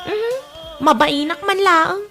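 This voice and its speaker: A woman's voice: very high voice, takes it slowly, exaggerated voice. A woman voicing a placating, obedient young child in an Ilocano comedy drama. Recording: music clearly behind the voice.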